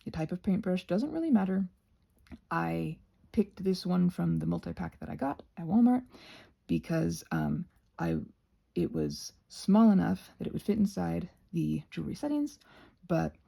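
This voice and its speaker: A woman's voice: lowish voice, sing-song, normal speed, vocal fry, mellow. A woman giving a rambling, casual craft tutorial as host, chatty and playful, with scattered, self-deprecating asides.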